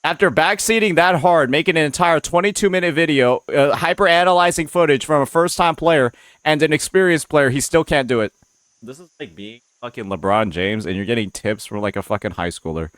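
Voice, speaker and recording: A man's voice: a little high, fairly quick, a bit bright, no echo. A man streamer reacting to gameplay footage, mocking and exasperated, swearing often.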